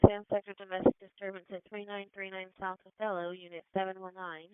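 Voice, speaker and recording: Woman, radio dispatcher, tinny narrow band, dry sound, clear voice, clipped procedural call-outs. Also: medium pitch, little pitch movement, quick pace, dry recording. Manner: clipped, procedural, reading out numbers digit by digit. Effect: two-way radio. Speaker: police and fire radio dispatcher.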